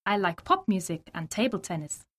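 Lively-pitched woman reading textbook lines. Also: normal speed. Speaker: language lesson dialogue reader. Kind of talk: scripted textbook reading of simple sentences.

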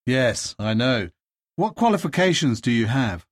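A man reading scripted dialogue lines in a language lesson, steady and carefully articulated. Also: mid-range voice, a little slow, no room sound, studio quality.

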